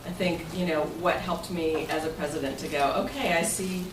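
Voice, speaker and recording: A woman's voice: low-pitched, fairly even intonation, brisk. A woman speaking as club president, giving an informal, playful presentation to a meeting and inviting answers. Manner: chatty and playful, jokes and invites audience answers. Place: meeting room, voice picked up at a distance. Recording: echoey noisy meeting room, distant mic.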